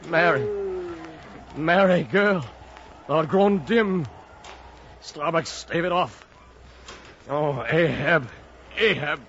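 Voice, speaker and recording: Muffled, noisy vintage radio play. pious ship's mate, fairly high voice, solemn, foreboding, pleading. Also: male voice, takes it slowly, long pauses, a bit bright. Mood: despairing. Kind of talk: old-time radio drama acting. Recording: muffled, noisy old radio drama recording.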